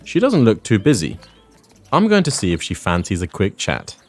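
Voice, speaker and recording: Language-course host, London man, deep and expressive, unhurried, patient with learners. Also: soft background music. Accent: London English. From England. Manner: friendly, clear, teaching pace with repeat-after-me pauses. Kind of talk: presenting a Spanish lesson. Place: outdoors in a public garden.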